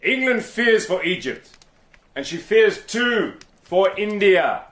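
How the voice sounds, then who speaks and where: An actor narrating in the first person and playing a cool, persuasive British agent in a radio drama, calm and measured. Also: male voice, sits high, exaggerated voice. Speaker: narrator and lead character.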